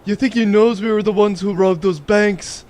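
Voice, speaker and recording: A man playing a dazed, queasy, wisecracking small-time outlaw who speaks haltingly in a comic audio drama. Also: high voice, fairly even intonation, a little mellow, natural voice. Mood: groggy, queasy. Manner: groggy, halting speech.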